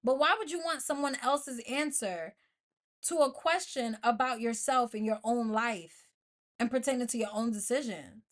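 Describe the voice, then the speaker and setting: A woman's voice: fairly high pitch, moderate pace. An American woman hosting an informal motivational podcast solo, speaking colloquially and emphatically, repeating phrases to drive them home. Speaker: podcast host.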